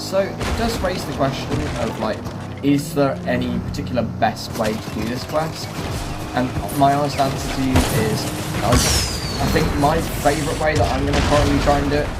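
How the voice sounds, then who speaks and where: A man hosting a gaming video with casual gameplay commentary. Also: mid-range voice. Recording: voice-over with music and game vehicle sounds. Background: clear music and game engine noise.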